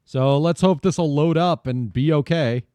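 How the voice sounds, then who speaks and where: A man's voice: a little high, measured pace, mellow, no room sound. A man chatting playfully as a streamer while playing a game. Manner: playful, joking. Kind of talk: casual chat while gaming.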